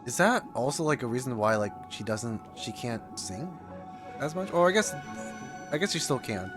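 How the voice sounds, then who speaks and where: A man's voice: medium pitch, animated, normal speed. A man giving casual, informal reaction commentary on a game, thinking aloud as he goes. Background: game music.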